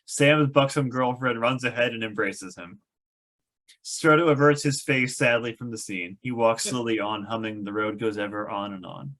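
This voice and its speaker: A man's voice: mid-range voice, moderate pace, fairly bright tone, rough recording. A man narrating a table read of a screenplay, reading stage directions with wry, amused asides.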